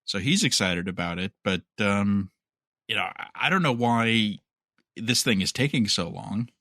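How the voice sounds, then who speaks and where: A man's voice: fairly low pitch, measured pace, sharp tone, dry recording, clean studio sound. A man co-hosting a podcast, chatting casually and offering his opinions in a conversational way. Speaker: podcast co-host. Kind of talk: casual chat about entertainment news.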